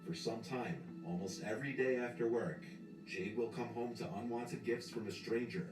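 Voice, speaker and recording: A man reading scripted scary-story narration in a measured, serious way. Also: medium pitch. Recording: played back in a roomy space, distant. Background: music.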